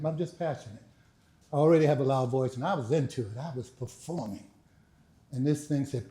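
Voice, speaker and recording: Older man, loud speaker in an echoey hall. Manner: booming oratory with rising emphasis; chatty, laughing, folksy asides. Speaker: speaker and author. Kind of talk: dramatic reading then casual talk to audience. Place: library event hall. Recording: echoey room.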